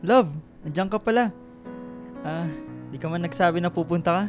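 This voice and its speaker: An actor playing a devoted, plain-spoken boyfriend in a radio drama. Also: male voice, sits high, moderate pace, soft background music, lightly acted. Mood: affectionate, playful.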